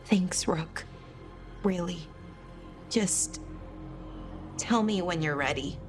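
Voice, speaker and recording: An actress playing a tender, anxious elven woman in a game cutscene, earnest and halting as she speaks about a brother. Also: on the low side. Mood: sad, resolute. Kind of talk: dramatic video game cutscene acting. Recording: loud background music.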